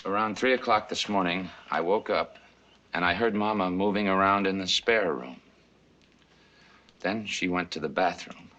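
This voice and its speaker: An actor playing a bitter, suspicious grown son in a stage play. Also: male voice, deep voice, extremely slow, pushed and bright, lo-fi sound, exaggerated voice. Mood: wary, insistent.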